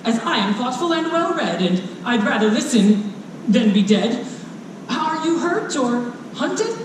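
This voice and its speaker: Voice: medium pitch, very animated, unhurried. A storyteller voicing a brave, kind little girl who speaks in an earnest, polite way. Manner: earnest, bright-hearted, polite. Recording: echoey, distant live recording with noise.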